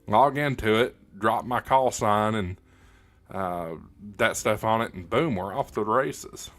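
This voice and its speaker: A man hosting a casual hobby livestream, answering comments in a halting, plain-spoken, opinionated way, often repeating words as he goes. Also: fairly low pitch, a bit bright, soft background music. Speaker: livestream host, ham radio hobbyist.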